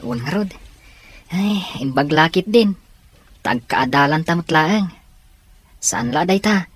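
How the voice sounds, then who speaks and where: A woman's voice: low voice, animated, takes it slowly, husky, no echo, heavily acted. A woman voicing a chatty young college classmate. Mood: friendly.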